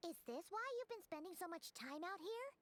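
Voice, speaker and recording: A woman voicing a tiny, caring, excitable fairy companion with a squeaky, childlike voice in a video game. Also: a little fast, slight background noise. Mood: concerned.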